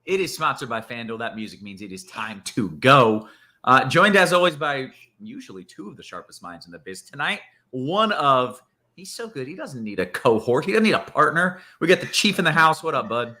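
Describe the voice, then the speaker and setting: A man's voice: medium pitch, big pitch swings, normal speed, pushed and bright. A man hosting a sports betting show with upbeat, hype-style delivery. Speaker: show host.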